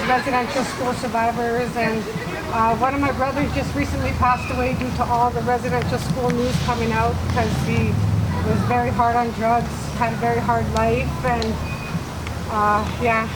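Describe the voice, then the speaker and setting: Nervous woman speaking at a noisy rally. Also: on the high side. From Manitoba, Canada. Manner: hesitant, nervous, many fillers. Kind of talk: personal testimony speech. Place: outdoor rally. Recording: noisy outdoor recording with traffic.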